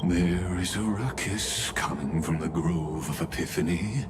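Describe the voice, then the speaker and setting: An actor voicing a composed, scheming game villain with sly, measured, formal courtesy. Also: male voice, low voice, heavy background noise. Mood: grave, insinuating. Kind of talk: video game voice acting.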